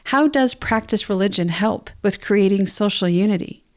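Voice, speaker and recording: A woman's voice: mid-range voice, normal speed. A woman co-hosting a podcast, speaking in a conversational, explanatory way about books and ideas. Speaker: podcast co-host. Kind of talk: book discussion podcast, part casual chat, part reading prepared notes.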